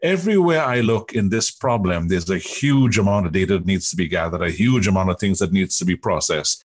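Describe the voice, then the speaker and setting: A man with a Trinidadian accent and a husky voice, explaining emphatically in conversation as an interview guest, often posing rhetorical questions. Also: deep voice, animated, a little fast. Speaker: interview guest, technology executive.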